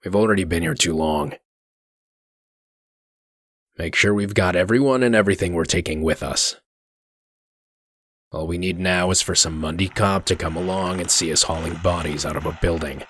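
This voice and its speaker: A man playing a gruff, commanding werewolf detective in a comic dub, curt and threatening, with a gravelly voice. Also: deep voice, moderate pace, pauses often, a bit bright, no echo. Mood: brisk, commanding.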